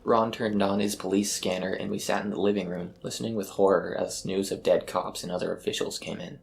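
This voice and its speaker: Low, gravelly male horror narrator. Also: little pitch movement, fairly quick, very noisy. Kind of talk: scripted first-person horror narration. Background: eerie ambient music.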